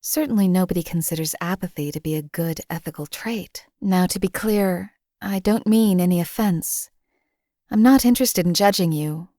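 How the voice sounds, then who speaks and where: A woman playing a deadpan cold assassin. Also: lowish voice, moderate pace, no echo, clean studio sound, close to an everyday voice. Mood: detached. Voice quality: calm, clipped, deadpan. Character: cold detached female assassin companion. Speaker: game companion. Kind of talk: game voice lines.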